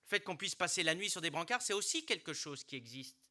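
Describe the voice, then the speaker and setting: A middle-aged man delivers a formal address with a very bright, forceful edge to his voice. His pitch sits a touch high for a man. He speaks earnestly and deliberately, often restarting a phrase before pressing on to the key word. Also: lively intonation. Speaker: mayor giving a New Year speech. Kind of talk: formal public speech with hesitations. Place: hospital hall.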